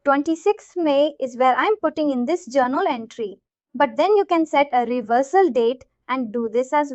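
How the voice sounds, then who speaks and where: A woman with a very high voice gives an informal, step-by-step tutorial as a trainer. She explains as she clicks along and often checks in with the listener. The sound is dry and close, with a dull, low-fidelity tone. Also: no echo. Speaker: software trainer. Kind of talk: informal screen-share tutorial narration. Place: voice-over of a screen recording.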